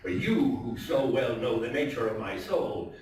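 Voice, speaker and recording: A man giving an expressive, theatrical live reading of a gothic tale as a stage storyteller. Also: mid-range voice, moderate pace. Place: theatre stage before an audience. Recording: echoey theatre, far from mic, faint noise.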